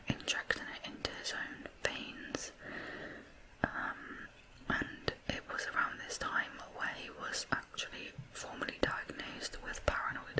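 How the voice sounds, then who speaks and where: A woman hosting ASMR true crime, narrating in a soft, breathy whisper with a measured manner. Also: low-quality recording.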